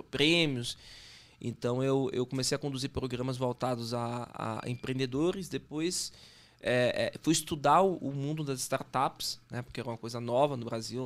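A man in his thirties with a Bahian Brazilian accent and a mid-pitched voice. He talks as a podcast guest in a casual, conversational way, correcting himself often and dropping in slang. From Salvador, Bahia, Brazil. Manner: informal, halting with repeated self-corrections and slang. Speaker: podcast guest, sales consultant. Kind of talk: casual interview chat, telling career story.

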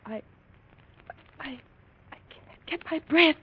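High-pitched, distraught wife. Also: female voice, expressive. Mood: frightened. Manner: halting, melodramatic. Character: distraught, frightened wife of a rich mine owner. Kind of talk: 1940s radio drama acting. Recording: dull, lo-fi old radio recording.

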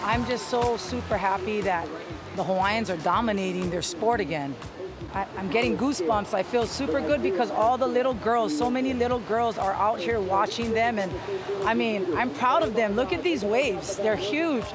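Proud spectator over loud music. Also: mid-range voice, moderate pace. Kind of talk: casual interview.

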